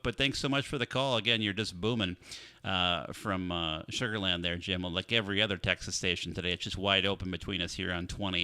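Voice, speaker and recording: Host, man: slightly low, very bright and dry, with friendly, brisk on-air patter. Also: clean tone, no room sound. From Minnesota, US. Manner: friendly, brisk radio operating talk. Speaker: ham radio operator and channel host. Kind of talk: live ham radio contacts. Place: home radio shack. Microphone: studio dynamic mic with processing.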